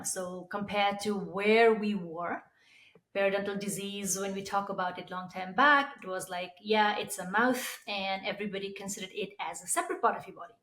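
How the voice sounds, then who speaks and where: A woman from Nepal with a Nepali accent, explaining as an expert guest in an interview. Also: mid-range voice. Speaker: periodontist guest. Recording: roomy, echoey sound.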